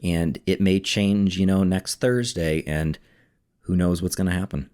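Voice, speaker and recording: A man's voice: low-pitched, fairly even intonation, unhurried, darkish tone. A man chatting as a guest on a podcast, opinionated and easygoing, laughing readily. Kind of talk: casual chat.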